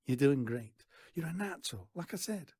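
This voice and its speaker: Guy doing a bossy folksy fairground stall man, swoopy husky voice, kids story. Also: fairly high pitch, animated, normal speed, warm tone. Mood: approving. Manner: emphatic, folksy, patronising. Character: brisk, exasperated older fairground stallholder mentoring a lad.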